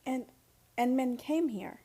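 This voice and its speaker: An actress playing an earnest, disbelieving young heiress in a radio play, halting and insistent in her questions. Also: high voice, lively intonation, warm tone, husky, heavily acted. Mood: anxious questioning.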